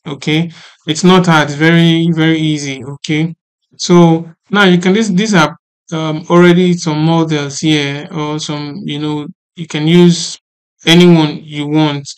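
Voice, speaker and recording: A man presenting a casual software tutorial in a halting, repetitive way, often checking that the listener understands. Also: fairly high pitch, clear, resonant tone.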